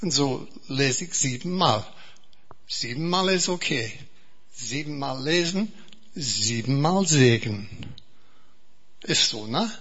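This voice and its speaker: Slow-speaking English man preaching. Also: medium pitch, expressive, fairly bright tone, husky, lo-fi sound. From England. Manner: slow, repetitive, earnest preaching with restarts. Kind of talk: sermon.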